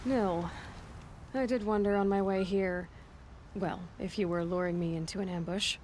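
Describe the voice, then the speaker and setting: A woman playing a principled young huntress in a video game, speaking in an earnest, measured, frank way. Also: mid-range voice, fairly even intonation, darkish tone, slight background noise, lightly acted. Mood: wary. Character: level-headed young female huntress, guarded and principled. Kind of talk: naturalistic video game cutscene acting.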